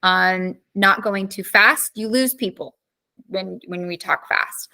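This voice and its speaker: Brief: a female teacher with a bright, crisp voice in a chatty, informal class recap. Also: mid-range voice. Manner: chatty, with fillers and quick asides.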